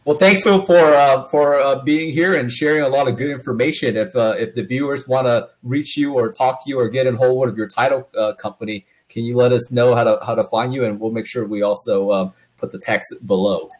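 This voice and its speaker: A man's voice: medium pitch, fairly even intonation, brisk, few pauses, poor audio. A man hosting a casual interview, friendly and often repeating words as he asks questions. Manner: friendly, stumbling over words. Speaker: host.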